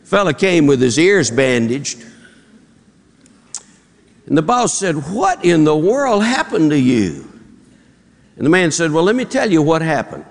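Southern pastor guy cracking folksy jokes from the pulpit, drawly, voice a bit high, long echo of the auditorium. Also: big pitch swings, unhurried, pauses often. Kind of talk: sermon with folksy joke-telling. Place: church auditorium. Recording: long reverb in a large hall.

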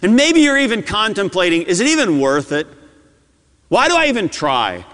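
A man preaching a sermon as a pastor, his delivery swinging between loud urgency and hushed intensity. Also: high-pitched, big pitch swings, moderate pace. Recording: long hall reverb.

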